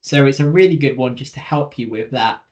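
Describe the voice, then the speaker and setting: Guy walking people through tips on a webinar, informal, average pitch. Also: fairly quick. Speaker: webinar co-presenter. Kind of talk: informal instructional presentation.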